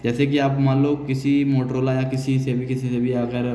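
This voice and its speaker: A man's voice: mid-range voice, flat delivery, normal speed, dark tone. An Indian man giving a hands-on technical tutorial, explaining step by step and repeating key phrases. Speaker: technical instructor. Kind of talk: hands-on spoken software tutorial. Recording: echoey reverberant room, noisy, distant sound.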